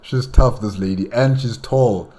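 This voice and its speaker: A man giving casual, halting reaction commentary, rambling and repeating phrases as he goes. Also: fairly low pitch, warm tone. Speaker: reaction video host.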